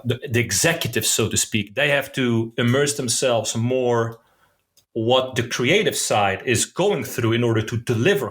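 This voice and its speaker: Podcast guest, male, middle-pitched voice, reflective and opinionated. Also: a bit bright. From Netherlands. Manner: thoughtful and opinionated, restarts words, says "man". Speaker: podcast guest, concept designer. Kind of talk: casual podcast conversation.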